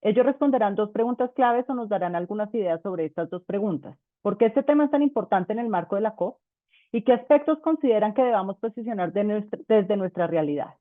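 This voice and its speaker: A woman moderator reads introductions in a formal, measured way. Her voice comes through thin and phone-like. There is no room sound around it. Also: medium pitch, no room sound. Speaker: webinar moderator. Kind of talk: scripted event introductions. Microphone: video call. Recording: tinny phone-like call audio, dry.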